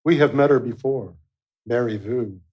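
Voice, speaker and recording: A man's voice: medium pitch, normal speed, a little mellow, highs cut off. A man giving scripted narration as the narrator of a radio play.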